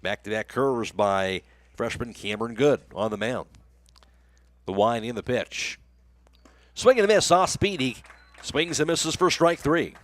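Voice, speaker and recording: An American man with a medium-pitched voice calls a baseball game live on the radio. His play-by-play comes through close and dry, with very little room sound. He delivers it as running sports commentary. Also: lively intonation, a little slow, long pauses, no room sound.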